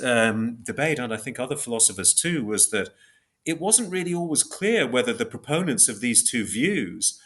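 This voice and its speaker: A man explaining ideas as an interview guest in a measured, precise academic way, often repeating and reformulating phrases as he thinks. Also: medium pitch, sharp tone.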